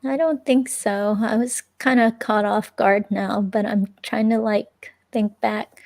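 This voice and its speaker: A woman's voice: mid-range voice, steady tone, vocal fry, darkish tone. A woman reading the part of a hesitant, chatty ex-girlfriend questioned by police in a dramatic transcript reading. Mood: hesitant, casual. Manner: hesitant, halting, casual.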